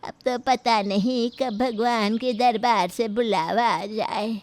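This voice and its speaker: A woman's voice: a little high, fairly even intonation, slow pace, darkish tone. A woman voicing a crotchety, bossy old grandmother-in-law in a story, grumbling and commanding. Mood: approving. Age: older. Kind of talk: Hindi moral story character acting.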